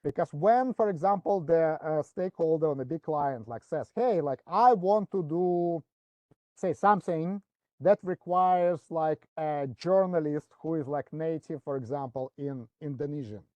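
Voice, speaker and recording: Halting man on a dull webinar line. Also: on the high side, mellow, no echo. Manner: hesitant, many fillers and restarts. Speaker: webinar speaker. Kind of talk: explaining a business process. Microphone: video call.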